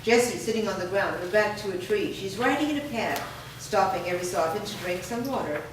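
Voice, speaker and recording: A woman reading the stage directions aloud in a staged play reading, in an even, matter-of-fact way. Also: mid-range voice, fast talker. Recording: echoey room, far mic, hiss.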